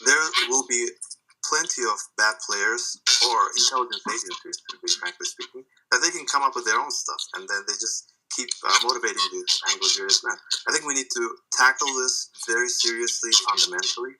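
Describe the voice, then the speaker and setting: A man's voice: medium pitch, fairly quick, sharp tone, poor audio. A man from Khorasan taking part in a live audio discussion, speaking hesitantly with many fillers and non-native phrasing. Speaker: participant.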